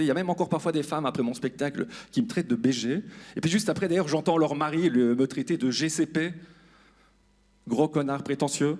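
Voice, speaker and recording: A man performing stand-up on stage, self-deprecating and speaking directly to the audience. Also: fairly high pitch. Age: 40s. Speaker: stand-up comedian. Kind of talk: stand-up comedy monologue to an audience. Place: live comedy club stage.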